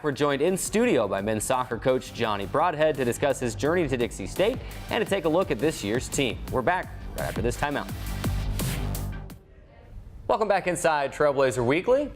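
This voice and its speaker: A man hosting a sports show, recapping results and interviewing guests in a studio. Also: mid-range voice. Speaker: sports show host and play-by-play announcer.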